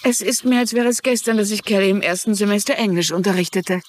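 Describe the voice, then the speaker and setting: Eine Schauspielerin spielt in einem synchronisierten TV-Drama eine gefasste, wissbegierige ältere Frau, die bedacht und deutlich spricht. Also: female voice, medium pitch, fast talker, a little hiss or hum, lightly acted. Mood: curious. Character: composed, inquisitive older woman, a former teacher turned sleuth. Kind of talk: dubbed TV drama dialogue in German.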